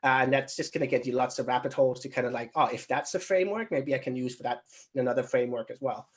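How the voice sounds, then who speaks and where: A man's voice: mid-range voice, fast talker. A man answering questions casually as a recruitment trainer, thinking aloud and rambling as he explains.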